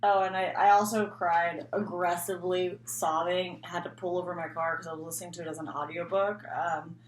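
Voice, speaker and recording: Woman vlogger w a lowish voice, rambly casual chat, roomy echo and a bit of background noise. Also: little pitch movement, fairly quick. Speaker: book vlogger. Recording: echoey room, faint background noise.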